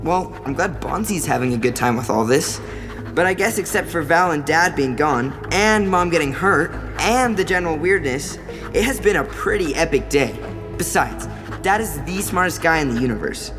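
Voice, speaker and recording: A woman's voice: low voice, animated, moderate pace. A woman playing an earnest, nerdy young boy in an audio drama, speaking dialogue and diary narration. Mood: reflective. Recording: long reverb, music behind.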